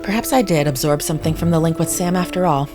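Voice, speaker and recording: An actress plays a stern woman in an audio drama with a notably low voice. She speaks formally and with measured detachment, as if issuing clinical verdicts. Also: music in the background, lightly acted. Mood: cold, firm. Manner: formal, measured, unemotional diction. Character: stern, coolly formal woman once mentally linked to another.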